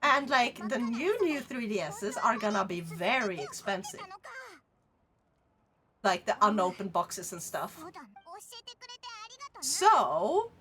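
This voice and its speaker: A woman with a Swedish accent, streaming and chatting casually with her live chat in a rambling, animated way, laughing often. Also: mid-range voice, takes it slowly, long pauses. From Sweden.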